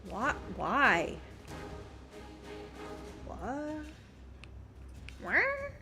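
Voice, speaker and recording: A woman's voice: high-pitched, huge pitch swings, extremely slow, sharp tone, soft background music. A woman livestreamer giving casual gameplay commentary, muttering questions and reactions to herself as she plays. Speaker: video game streamer.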